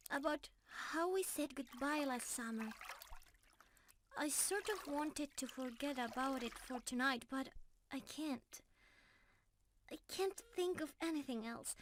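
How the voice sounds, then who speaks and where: A woman's voice: high-pitched, slight background noise. A woman playing a sweet, bashful young mermaid in an intimate ASMR roleplay, affectionate and girlish, easily flustered and teasing. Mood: nervous, earnest.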